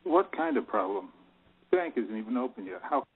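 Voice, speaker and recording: A man's voice: a little high, wildly swooping pitch, a little fast, vocal fry, slightly rough, exaggerated voice. A man playing a nervous, evasive bank boss who stammers in an audio drama, with narrow phone-like sound. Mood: confused. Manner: hesitant, stammering.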